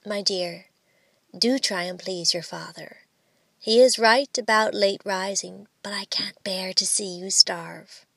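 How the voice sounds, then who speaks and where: A kindly, motherly aunt from a storybook as a woman reader plays her. She speaks softly and gently at an unhurried pace, recorded dry and close. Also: mid-range voice, vocal fry, no echo, exaggerated voice. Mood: gentle, coaxing.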